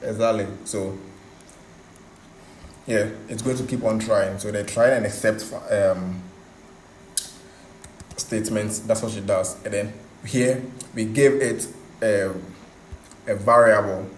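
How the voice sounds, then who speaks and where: A man's voice: on the low side, steady tone, slow, long pauses. A man hosting a coding tutorial in a casual, conversational way, repeating himself for emphasis. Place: indoor room, voice over screen recording. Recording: echoey room, background hiss.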